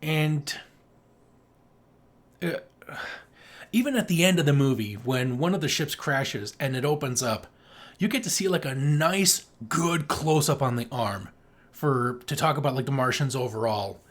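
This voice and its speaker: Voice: mid-range voice, unhurried. An enthusiastic podcast co-host chatting casually about film, speaking emphatically and swearing freely. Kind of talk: casual film review chat.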